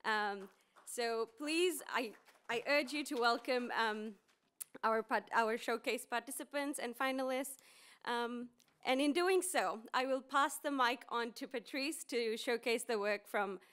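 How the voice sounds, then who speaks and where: A woman's voice: fairly high pitch, takes it slowly, a bit bright, clean tone. A woman from Fiji in her thirties moderating a conference session from a podium, with frequent ums and small restarts. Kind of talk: conference panel introduction. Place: conference room with seated audience. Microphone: podium mic.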